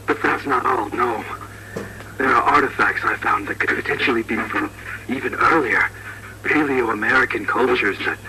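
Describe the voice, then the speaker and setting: A man playing a fearful, scholarly archaeologist recording a solemn, halting final log for a horror story. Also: mid-range voice, vocal fry, natural voice. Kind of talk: found-recording horror monologue. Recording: very noisy, crackly old tape recording.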